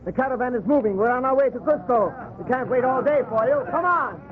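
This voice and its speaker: A high-voiced, vengeful colonial trader in an old radio drama. He is impatient and declamatory, heard through a thin, very noisy recording. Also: expressive, brisk, phone-quality sound. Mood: hostile. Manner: impatient, declamatory, barking orders. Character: hot-headed, vengeful Spanish colonial trader. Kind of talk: 1940s radio acting.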